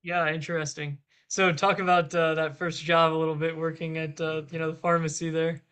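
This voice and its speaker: A man's voice: a little high, flat delivery, fairly quick, fairly bright tone, smooth. A man hosting a podcast, reading an introduction and prompting his guest loosely with fillers. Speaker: podcast host. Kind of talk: interview host.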